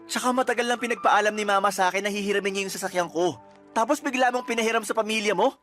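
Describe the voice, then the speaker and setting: A man's voice: high-pitched, quick pace, bright, forceful tone, soft background music. A young man acting a resentful boyfriend in a radio drama, complaining and accusing. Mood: arguing.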